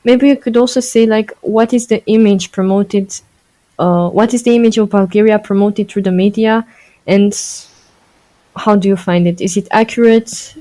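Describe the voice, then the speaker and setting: Mellow-voiced young woman host, loose phrasing. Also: mid-range voice, fairly even intonation, normal speed. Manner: loose, searching phrasing with fillers. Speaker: podcast host. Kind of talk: casual interview questions.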